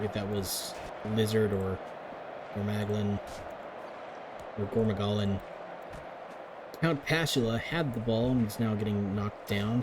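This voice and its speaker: A man with a lowish voice calls a game live, chatty and upbeat as he runs through plays. His voice is turning a little raspy from long talking. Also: noisy background. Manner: chatty, upbeat play-by-play with frequent restarts. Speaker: league commentator.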